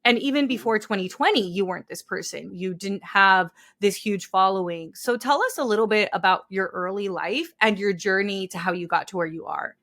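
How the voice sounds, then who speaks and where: A woman's voice: mid-range voice, moderate pace, hardly any pauses, fairly bright tone. A woman hosting a podcast, interviewing a guest with effusive, gushing praise. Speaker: podcast host.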